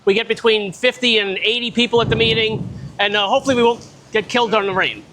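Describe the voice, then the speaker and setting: A man with a loud voice giving a casual, blunt talk at an investor meetup, speaking without a microphone in a restaurant. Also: high voice, fast talker, a little hiss or hum, poor audio. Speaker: guest speaker on private lending. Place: restaurant event space in the rain.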